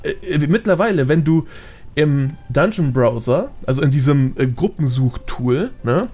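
A man's voice: a little high, expressive, moderate pace, darkish tone. A man with a colloquial, slangy way of explaining things, chatting casually as a guest on a gaming podcast. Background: background music.

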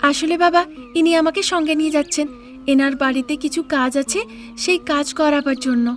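A woman's voice: sits high, steady tone, a little fast, faint music behind, pitched above the performer's own voice, natural voice. A woman voicing an honest, earnest young daughter-in-law in a cartoon tale, plaintive and pleading. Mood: puzzled, polite. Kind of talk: cartoon fairy-tale character acting.

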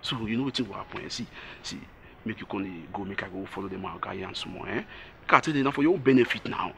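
A man with a Nigerian accent playing a smooth-talking travel scam agent in a comedy skit. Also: medium pitch, quick pace, bright, forceful tone, a little hiss or hum, lightly acted. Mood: coaxing. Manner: persuasive, coaxing.

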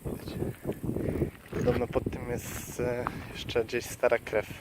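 A man with a medium-pitched voice talks casually as a travel vlogger, showing things as he goes. He speaks informally and haltingly, looking for words. Very loud wind and water noise surrounds him outdoors. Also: monotone. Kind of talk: casual vlog commentary while showing sights. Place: outdoors by a lake and stream.

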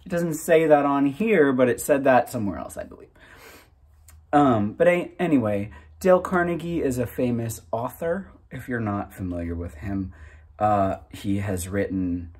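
A man in his thirties hosting his own channel, talking casually and a little self-consciously in a halting, informal way. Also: mid-range voice, darkish tone. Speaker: host of a reading channel. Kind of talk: casual, unscripted chat to camera.

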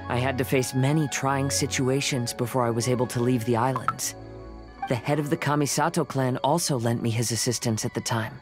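A man voicing a gentle, modest young wandering samurai in a video game, in a calm, measured manner. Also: mid-range voice, music in the background, natural voice. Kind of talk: video game character acting.

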